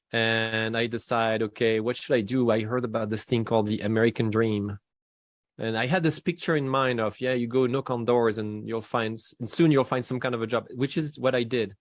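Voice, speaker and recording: A man in his forties with a French accent, a guest in a casual interview who talks in halting bursts, restarting his sentences and laughing between thoughts. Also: mid-range voice, dry recording. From France.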